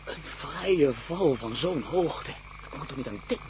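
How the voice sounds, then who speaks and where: An actor playing a jumpy, skeptical sidekick. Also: male voice, on the high side, animated, moderate pace, background noise, natural voice. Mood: awed. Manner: blunt, easily alarmed.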